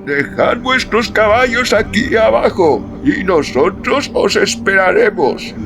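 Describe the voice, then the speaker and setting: Man acting a high-pitched old pioneer leader. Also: expressive, slow, full character voice. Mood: commanding, calm. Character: elderly commanding leader of frontier pioneers. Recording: music behind the voice.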